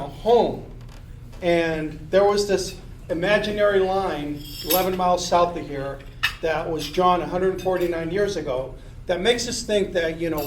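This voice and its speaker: A man's voice: a little high, darkish tone. A man in his fifties, a city manager from southern Colorado, giving an earnest, unamplified speech at a meeting, with repeated rallying phrases and frequent fillers. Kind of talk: public speech to a business meeting. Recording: echoey room, speaker far from mic, faint hiss.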